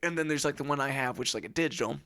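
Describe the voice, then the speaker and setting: A man's voice: mid-range voice, very fast, pushed and bright, no echo. Laid-back man musician hosting a chat. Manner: loose, rambling, profane, joking. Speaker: podcast host and musician. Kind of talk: casual chat about music gear.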